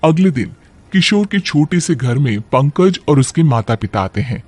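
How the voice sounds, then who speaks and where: A man's voice: a little high, animated, moderate pace. A man reading scripted narration for a cartoon story. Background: music.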